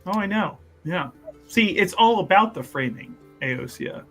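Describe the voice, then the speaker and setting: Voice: mid-range voice, lively intonation, measured pace, faint music behind. A player at a tabletop game, playful and mock-philosophical, often laughing. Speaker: player voicing a character. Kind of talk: tabletop roleplay banter.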